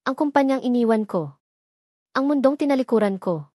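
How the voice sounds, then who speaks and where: A woman's voice: fairly high pitch, lively intonation, moderate pace, dark tone, no echo, studio quality. A woman with a Filipino accent voicing a brooding, wounded and angry rich young man in a dramatic audio story. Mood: confiding, pained.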